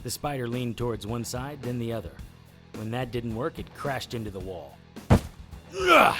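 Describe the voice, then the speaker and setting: A man's voice: medium pitch, big pitch swings, unhurried. An actor playing a tough, wisecracking monster hunter, narrating his own story in a dry noir style for an audio drama. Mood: tense narration. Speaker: lead actor narrating in first person. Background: music bed under the voice.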